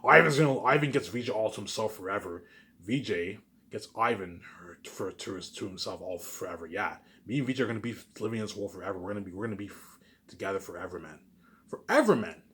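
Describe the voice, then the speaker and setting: A man's voice: fairly low pitch, fast, fairly bright tone. A man in a rambling informal monologue to viewers, boastful and circling back over the same phrases. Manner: boastful, self-aggrandizing.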